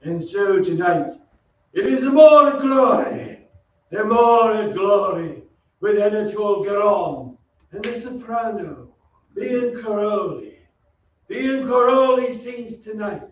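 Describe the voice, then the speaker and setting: Man playing a high-voiced, ominous radio-play schemer. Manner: deliberate, ominous, theatrical. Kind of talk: old-time radio drama acting. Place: theatre stage. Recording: echoey stage from far off, dull, noisy.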